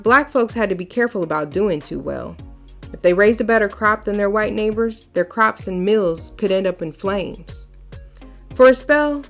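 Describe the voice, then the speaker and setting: An American woman hosting a storytime, reading aloud in an expressive, measured storytelling style with emphatic phrase openings. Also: mid-range voice. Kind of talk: expressive children's read-aloud narration.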